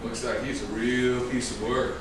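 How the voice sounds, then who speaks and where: A man plays a blunt, sardonic male detective interrogating in a crime play. The voice is mid-pitched and carries across a very echoey stage with clear background noise. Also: little pitch movement, moderate pace, natural voice. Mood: businesslike, probing. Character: pushy, sardonic male police detective questioning suspects. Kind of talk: staged reading of a crime drama. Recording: very echoey, distant, noisy stage recording.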